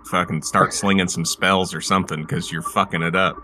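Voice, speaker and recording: A man's voice: low-pitched, flat delivery, a little fast, sharp tone, slight background noise. A man playing a tabletop game, chatting casually about the group's moves. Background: background music.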